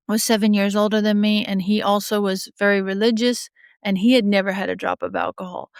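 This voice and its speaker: A woman's voice: medium pitch, clean tone, no room sound, studio quality. A middle-aged woman raised in Australia, a judge telling her recovery story candidly in an interview, a little sniffly from a cold, with many restarts. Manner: frank, often laughs softly, many fillers and restarts. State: getting over a cold, a bit sniffly. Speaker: judge, podcast guest.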